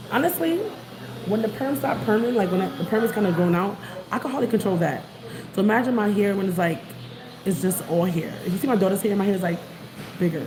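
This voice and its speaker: A woman from Queens with a New York accent, chatting casually to viewers on a livestream while out on the street. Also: medium pitch. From New York City, Queens. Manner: rambling, chatty, greets viewers. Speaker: vlogger. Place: outdoors on the street. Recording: noisy background.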